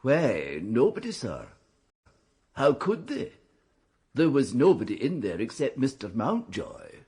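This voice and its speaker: Deferential, husky-voiced English manservant. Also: male voice, medium pitch, huge pitch swings, takes it slowly, mellow, full character voice. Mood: earnest explaining. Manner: respectful, meticulous, hesitant. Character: deferential, precise English manservant in a 1920s country house. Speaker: actor in a radio drama. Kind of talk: radio mystery drama acting.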